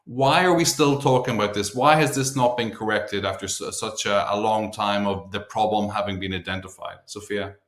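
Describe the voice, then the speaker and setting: A man's voice: on the low side, normal speed, hardly any pauses, a bit bright. A man moderating a panel discussion, asking probing questions in a conversational way. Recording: roomy echo.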